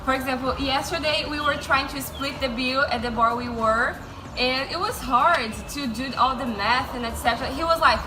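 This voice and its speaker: A woman's voice: high voice, normal speed. A woman, a Portuguese speaker, chatting casually as she interviews friends. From Brazil. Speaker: interviewer, English-teaching vlogger. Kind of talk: casual chat. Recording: echoey room with clear background noise.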